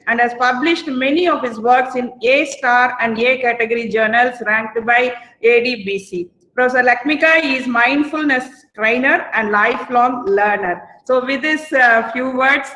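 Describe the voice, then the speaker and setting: A woman's voice: fairly high pitch, pushed and bright. A woman, hosting and formally reading a speaker's introduction. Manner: formal, reads a prepared introduction. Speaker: faculty host. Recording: echoey room on video call.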